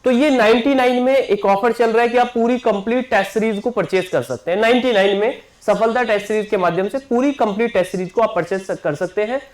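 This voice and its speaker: A man's voice: sits high, a little fast, hardly any pauses. A man, a coaching teacher announcing an exam batch to students, repeating himself and often checking in with them. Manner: repetitive, keeps checking in with listeners. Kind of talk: promotional class announcement.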